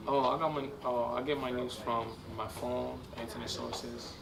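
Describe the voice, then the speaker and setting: Gravelly young man, distant. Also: medium pitch, flat delivery. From Dominican Republic. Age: 20s. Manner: halting, searching for words. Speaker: student. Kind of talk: interview answers. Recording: distant mic with street noise.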